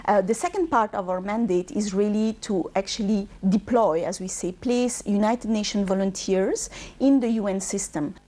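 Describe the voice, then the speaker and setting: A woman's voice: medium pitch, normal speed, few pauses, dark tone. A woman from Bangladesh who grew up in Belgium, a UN regional manager answering interview questions fluently, with frequent ums and uhs. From Bangladesh, raised in Belgium.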